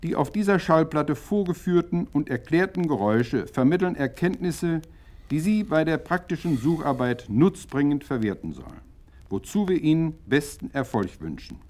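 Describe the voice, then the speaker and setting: Casting: pedantic man narrating an old instructional record, slightly high voice, stiff formal delivery, radio play. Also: sing-song, normal speed, darkish tone. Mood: earnest, instructive. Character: earnest male narrator of an instructional technical record.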